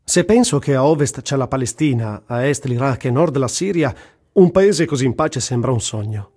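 A man reads a first-person travel diary as scripted radio theatre, in a measured storytelling manner. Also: medium pitch, expressive, a little mellow, breathy edge. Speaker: reader in a radio theatre production. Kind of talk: first-person literary narration over music.

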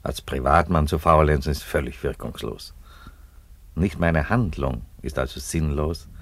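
An actor playing a middle-aged Roman emperor in a German radio play, weary and coolly ironic, with a deliberate delivery. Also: male voice, very deep voice, vocal fry, dry recording, heavily acted. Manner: deliberate, unruffled, declarative.

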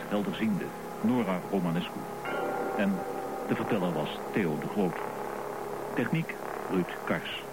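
A man's voice: low-pitched, poor audio. A man reading scripted radio credits as an announcer. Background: loud music.

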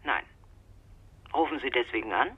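Brisk male doctor on a muffled phone line. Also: medium pitch, lively intonation. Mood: amused disbelief. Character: male general practitioner on the phone, brisk and wry. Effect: telephone filter. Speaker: doctor in private practice. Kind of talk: radio drama dialogue. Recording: muffled, husky telephone line sound.

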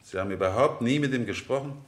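A man's voice: mid-range voice, quick pace, roomy sound, natural voice. A man in a German radio drama playing an insistent defence lawyer, formal and pressing as he cross-examines. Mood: relentless. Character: insistent, sharp-questioning male defence lawyer in court.

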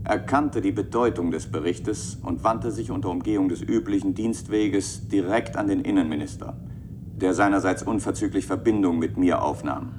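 A male actor plays a statesman in a radio drama, composed and faintly wry. His lowish voice reads out in formal, measured phrases. Clear rumbling noise sits behind him. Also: close to an everyday voice. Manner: measured, formal reading with brisk asides. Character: future male president reading aloud, formal, wry, composed. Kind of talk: German radio play acting.

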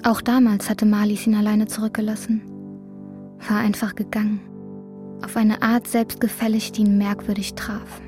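A woman's voice: mid-range voice, flat delivery, normal speed, mellow, faint music behind, close to an everyday voice. A woman narrating a literary radio drama, recounting an anxious man's inner thoughts. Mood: reflective, measured.

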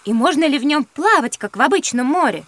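A woman's voice: sits high, animated, a little fast, fairly bright tone. A woman voicing a polite, inquisitive little girl in an educational audio play for children. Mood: curious.

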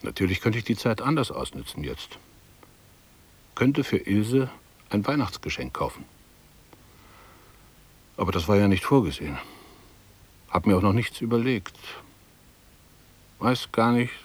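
A man's voice: fairly low pitch, sing-song, measured pace, long pauses, breathy edge, exaggerated voice. An actor playing a fussy, fretful middle-aged male bank clerk thinking aloud in a radio drama, precise and ruminative. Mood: worried, fretful. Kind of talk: 1960s German radio drama monologue.

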